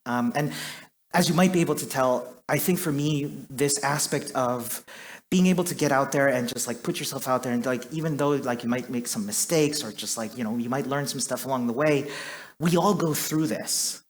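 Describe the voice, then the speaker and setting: A man's voice: medium pitch, normal speed. A man from Chile, likely in his thirties, giving a chatty, self-deprecating conference talk on stage with lots of fillers. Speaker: conference speaker.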